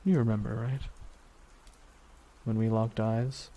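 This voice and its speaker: Man acting a low, mellow, grieving supervillain. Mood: bitter, accusing. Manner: slow brooding delivery with deliberate pauses. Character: grieving vengeful male supervillain, weary, menacing, softly bitter. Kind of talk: dramatic ASMR roleplay monologue. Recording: steady noise under voice, rain ambience.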